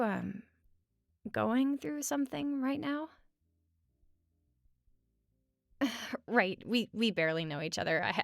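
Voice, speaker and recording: Casual, creaky-voiced sarcastic roommate, played by a woman. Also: medium pitch, dry recording, clean studio sound. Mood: gentle concern. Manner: casual, hesitant pauses, wry teasing. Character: sarcastic, teasing but caring young female roommate. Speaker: audio roleplay performer. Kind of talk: conversational comfort audio roleplay at regular speaking volume.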